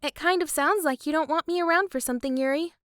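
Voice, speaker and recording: A woman voicing a sweet, composed teenage club president in an anime visual novel fan dub, polite and gently authoritative. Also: high-pitched, quick pace, dry recording, clean studio sound. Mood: reluctant.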